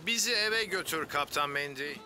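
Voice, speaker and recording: An actor playing a steady, kindly heroic firefighter in a children's cartoon, encouraging and reassuring. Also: male voice, a little high, moderate pace, a little hiss or hum. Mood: encouraging, calm. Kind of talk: animated children's cartoon dub. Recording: music under the dialogue.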